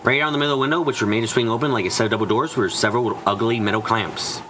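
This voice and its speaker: A man's voice: medium pitch, racing, fairly bright tone, a little hiss or hum, close to an everyday voice. A man voicing an anxious, frightened narrator trapped and desperate, in a first-person visual novel fan dub. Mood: uneasy, brooding. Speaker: voice actor narrating.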